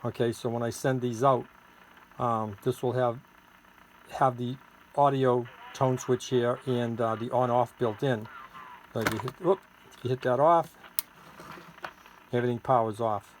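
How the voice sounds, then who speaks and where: Noisy recording, man, medium pitch, informal seller's demo, rambling hands-on explanation. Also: steady tone, a little slow, pauses often. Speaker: seller demonstrating his homemade radio gadget. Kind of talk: informal product demonstration.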